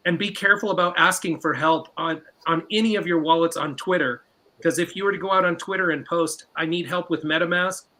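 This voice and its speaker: A man's voice: on the high side, sharp tone. A man hosting a live stream, giving casual advice with some filler words. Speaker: host.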